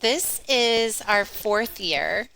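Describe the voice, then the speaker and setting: A woman's voice: a little high, expressive, very slow, gravelly, sharp tone, low-quality recording. A woman appearing as a podcast guest, explaining in a friendly way how her gallery's event came about. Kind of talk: interview answers.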